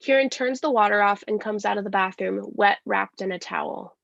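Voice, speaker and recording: A woman's voice: medium pitch, brisk, gravelly, fairly bright tone. A woman reading scripted stage directions as narrator of a play, in a dull lo-fi recording.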